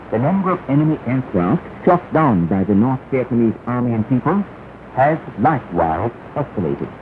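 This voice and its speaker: A man announcing 1960s propaganda news on the radio, formal and emphatic, phrasing deliberately. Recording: noisy 1968 shortwave radio, narrow band, rumble.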